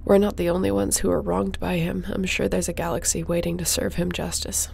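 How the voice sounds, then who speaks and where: Resolute space captain for sci-fi audio drama, female actor: loyal, earnest, fry-edged voice, slight background noise. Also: on the low side, flat delivery, a little fast, darkish tone. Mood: weary, tender. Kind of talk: sci-fi audio roleplay acting.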